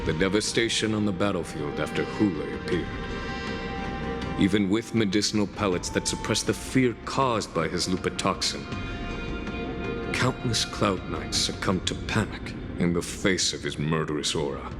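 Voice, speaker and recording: An actor playing a seasoned, battle-hardened warrior in a video game cutscene, speaking formally and solemnly. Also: male voice, deep voice, close to an everyday voice. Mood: somber, haunted. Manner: formal, measured, solemn storytelling. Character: seasoned, grave male veteran warrior, long-lived and battle-hardened. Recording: long reverb, loud music behind.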